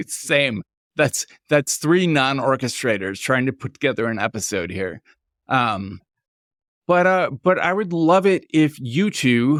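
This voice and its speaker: A man's voice: mid-range voice, no room sound. Man hosting a casual podcast, dry mic. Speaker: podcast host.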